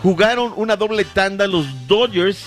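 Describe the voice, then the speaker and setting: A man's voice: sits high, moderate pace, faint music behind. A man hosting an opinionated sports discussion show, speaking emphatically and rhetorically with formal turns of phrase. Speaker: sports talk show host.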